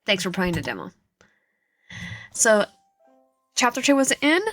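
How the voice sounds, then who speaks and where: A woman's voice: medium pitch, sing-song, measured pace, a bit bright. A woman gaming host reading a visual novel aloud with commentary, often stumbling over words. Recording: echoey room, faint music behind. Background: faint music.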